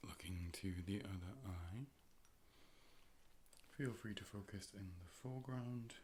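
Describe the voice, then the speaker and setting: A man playing a calm, polite robot A.I. optician in an ASMR role play, speaking in whispers and soft, measured, clinical tones into a close microphone. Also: lowish voice, slight background noise, natural voice. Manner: measured, formal, procedural, soothing. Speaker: ASMR performer playing an eye doctor. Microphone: close-up ear-to-ear 3D microphone.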